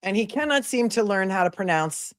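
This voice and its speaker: A woman's voice: mid-range voice, expressive, fairly quick. A woman hosting a live stream, commenting on a court hearing with sarcastic, indignant remarks and thanking viewers. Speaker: livestream host and commentator.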